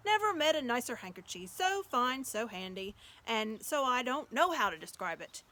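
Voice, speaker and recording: A woman's voice: on the high side, big pitch swings, normal speed, bright, forceful tone. A woman voicing a huge, simple, polite male giant in a storybook, with folksy, ungrammatical and apologetic speech. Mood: polite, pleased.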